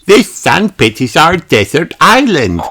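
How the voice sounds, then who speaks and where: Storyteller doing an excitable high-pitched little girl pig. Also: measured pace, exaggerated voice. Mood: bossy, delighted. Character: cheerful, curious, bossy little girl pig. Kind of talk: children's storybook character voice. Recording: clearly noisy recording.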